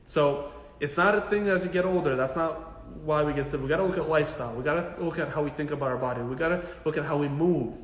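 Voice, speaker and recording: A man giving casual, informal health advice as a physical therapist answering questions, in a blunt, jokey, animated way with emphatic repetition. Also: a little high, fast. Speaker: physical therapist hosting a live Q&A. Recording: echoey, reverberant room.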